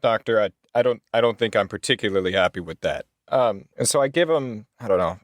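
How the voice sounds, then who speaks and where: A man's voice: on the low side, a little fast, no echo, clean studio sound. A man playing a tabletop roleplaying game, chatting casually and describing his character's actions.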